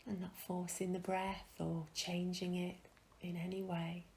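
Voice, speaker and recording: A woman guiding a meditation in a soft, breathy, soothing way, leaving long pauses between phrases. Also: fairly low pitch, level tone, a little hiss or hum. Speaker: meditation guide. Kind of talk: guided meditation.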